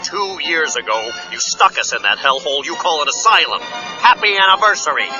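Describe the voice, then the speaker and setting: An actor playing a manic, sneering gangster supervillain in an audio drama, taunting theatrically with mock politeness. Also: male voice, fairly high pitch, lively intonation, normal speed, close to an everyday voice. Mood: gloating menace. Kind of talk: radio-drama villain acting. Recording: dull cassette audio with dramatic music.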